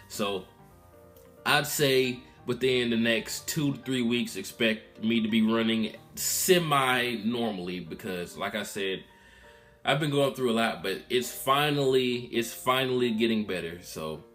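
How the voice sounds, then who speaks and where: A man's voice: on the low side, a little slow, soft background music. A man in his twenties talking casually to his viewers in a candid, rambling vlog update, recorded in a room at his mother's house. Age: 20s. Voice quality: loud voice. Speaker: vlogger.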